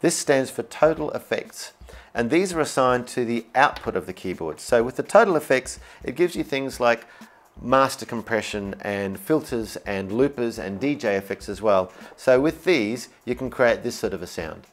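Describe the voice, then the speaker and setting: A man's voice: mid-range voice, animated, moderate pace. A man giving an upbeat, informal and enthusiastic instructional product demo, explaining features as he goes. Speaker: product demonstrator. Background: keyboard music.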